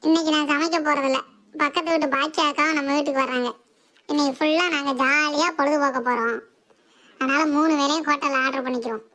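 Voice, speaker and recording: Woman doing a bossy cartoon housewife, really high voice, sarcastic and challenging. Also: fairly even intonation, fast talker, sharp tone. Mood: assertive. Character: assertive, sharp-tongued Tamil housewife and mother. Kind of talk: comic cartoon dialogue.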